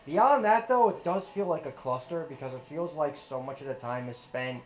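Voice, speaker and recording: A man giving a measured, critical take during a casual let's play chat. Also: a little high, faint music behind, rough recording. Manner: measured, critical, explains at length.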